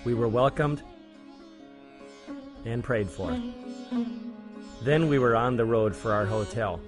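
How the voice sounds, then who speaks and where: A man, a church volunteer, reading a plain, measured documentary voice-over about a mission trip. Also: medium pitch. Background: background music.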